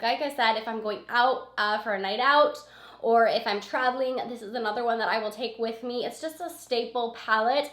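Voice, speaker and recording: A woman's voice: on the high side, fairly bright tone, reverberant. Scratchy-voiced woman vlogger with a cold. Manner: gushing, repeats words for emphasis. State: has a cold, sniffling. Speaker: beauty vlogger. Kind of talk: casual product review chat.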